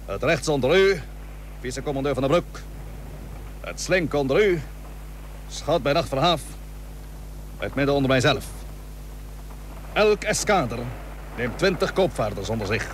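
An actor playing a resolute seventeenth-century Dutch naval commander in a radio play, earnest and commanding, with solemn, old-fashioned formal wording. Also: male voice, mid-range voice, lively intonation, a little slow, long pauses, close to an everyday voice. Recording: noisy old radio drama recording.

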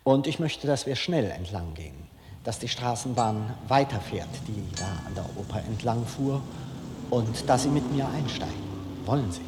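A middle-aged man giving an anecdotal public lecture as a film director, speaking deliberately and rhetorically with dramatic pauses. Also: mid-range voice, lively intonation, background noise. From Germany. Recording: reverberant lecture hall.

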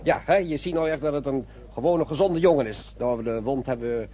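An actor playing a jovial, chatty doctor with a husky voice and an effusive manner in a radio play. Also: male voice, mid-range voice, a little fast, close to an everyday voice. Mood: jovial, pleased. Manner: effusive, repeats little tag words. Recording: dull old radio recording.

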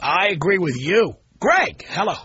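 A man's voice: high voice, big pitch swings, a little slow, bright, forceful tone, slightly rough, dull audio. A man hosting a call-in radio talk show, blunt and opinionated with callers.

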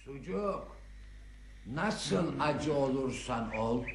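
An actor playing a genial, dreamy elderly man in an unhurried, savouring radio theatre performance. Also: medium pitch, lively intonation, creaky, full character voice. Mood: contented, gentle. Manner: savouring, unhurried, breaks into singing. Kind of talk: Turkish radio theatre acting. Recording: noisy old radio drama recording.

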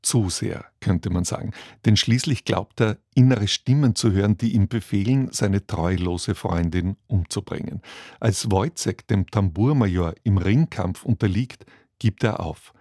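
A man's voice: lowish voice, a little slow, a little mellow, no echo, clean studio sound. A man reading a formal, scripted introduction as a radio presenter. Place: dry broadcast studio.

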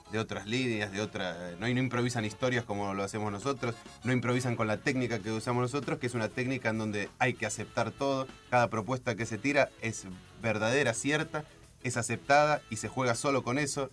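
A man's voice: mid-range voice, brisk, fairly bright tone, soft background music. A man talking casually as an improv performer and radio guest. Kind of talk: casual radio interview chat.

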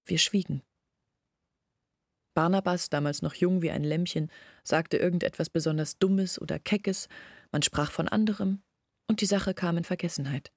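An embittered young village woman in an intimate literary radio play. Her voice is fairly low and gravelly, recorded very dry and close. She confides her family's shame earnestly, with measured phrasing. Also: no echo. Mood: reflective, recounting. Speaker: radio drama actor.

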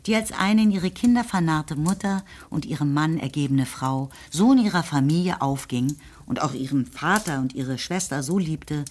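A woman narrating a radio play in a calm, measured, lightly ironic way. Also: sits low. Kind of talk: scripted narration.

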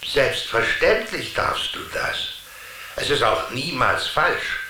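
A man's voice: mid-range voice, very animated, slow, sharp tone, heavy reverb, heavily acted. A man playing a witty, patient, know-it-all friend from a television in a German children's radio drama. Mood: patiently explaining. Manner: playful, erudite, patient explaining.

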